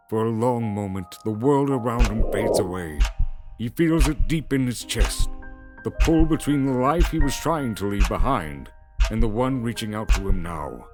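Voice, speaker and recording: Expressive male story narrator over music. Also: fairly low pitch. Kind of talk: dramatic scripted story narration. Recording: music behind the voice. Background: clear background music.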